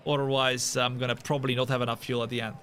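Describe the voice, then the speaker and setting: Male sim racing streamer, bright, forceful voice, high-energy hype and chatty tangents; live stream feel. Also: quick pace, slight background noise. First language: Dutch. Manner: energetic, hype shout-outs, rambling asides. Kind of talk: live stream chat while gaming.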